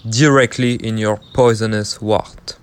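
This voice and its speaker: Valiant deer, played by a man, protective, proud, fairly low voice, storybook dramatization. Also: steady tone, a little slow, clean tone, lightly acted. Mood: defiant. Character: brave protective male deer, proud fighter.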